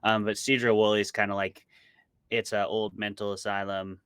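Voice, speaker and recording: American man, bright forward tone, smooth and clean, chatty, self-deprecating, humorous, casual interview guest, dry sound. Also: fairly low pitch, little pitch movement, moderate pace, no echo. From small town in Montana, United States. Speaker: interview guest, horror writer. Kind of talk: casual conversational interview answers.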